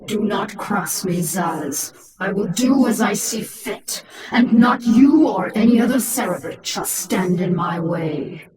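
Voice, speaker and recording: A woman's voice: medium pitch, animated, slow, hardly any pauses, darkish tone, reverberant, distant mic, low-quality recording. A woman playing a fierce, defiant alien warrior queen in a video game, speaking with cold, imperious formality.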